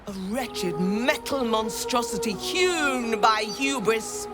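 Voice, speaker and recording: A fervent, high-voiced sea priestess. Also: female voice, animated, takes it slowly. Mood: grieving, indignant. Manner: incantatory, grand, reverent. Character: solemn, fervent priestess of a cruel sea goddess. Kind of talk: ceremonial, scripted game acting. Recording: loud music behind the voice.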